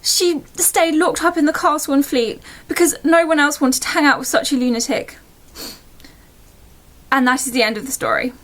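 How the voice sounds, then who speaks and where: High-voiced woman telling a bedtime story. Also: fairly even intonation, moderate pace, fairly bright tone.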